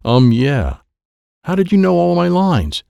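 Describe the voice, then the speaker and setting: Man putting on young alien bully, audiobook character, blustering, dim, indignant, taunting, wildly animated pitch. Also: unhurried, gravelly, clear, resonant tone, dry recording, clean studio sound, exaggerated voice. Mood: baffled.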